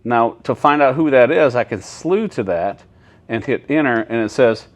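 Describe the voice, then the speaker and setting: A man's voice: mid-range voice, animated, moderate pace. Plain-spoken man explaining things step by step. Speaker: air traffic controller. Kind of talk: casual walkthrough of equipment. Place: inside an airport control tower.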